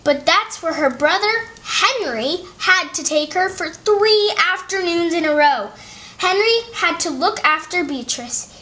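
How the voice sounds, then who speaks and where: Children's storybook host, female, notably high voice, read-aloud narration. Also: animated, a little slow, pushed and bright.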